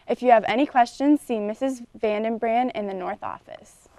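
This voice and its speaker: A woman's voice: fairly high pitch, normal speed, mellow, dry recording, low-quality recording. Young woman reading school announcements. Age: young adult. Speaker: student news anchor. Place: studio with curtain backdrop.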